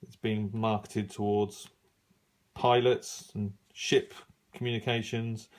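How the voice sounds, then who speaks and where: A middle-aged man giving a casual, unscripted review, musing and halting as he thinks aloud while browsing. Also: on the low side, level tone. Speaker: radio hobbyist reviewing an old magazine.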